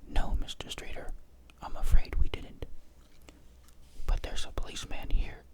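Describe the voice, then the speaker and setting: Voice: measured pace, lightly acted. A storyteller whispering the part of a polite, casual man speaking on the telephone.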